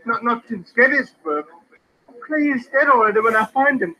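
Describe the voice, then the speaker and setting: An actor playing a frightened German immigrant farmer in an old radio Western, protesting in broken English with a German accent. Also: male voice, very high voice, normal speed, dull audio, a crowd in the background. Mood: pleading. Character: excitable, frightened German immigrant farmer, Civil War veteran. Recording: vintage radio drama recording.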